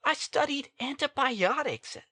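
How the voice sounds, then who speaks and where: A man's voice: very high-pitched, animated, unhurried, sharp tone, dry recording, heavily acted. A man playing a nervous, defensive young doctor in a dramatic audiobook, hesitant and protesting. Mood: frightened, protesting.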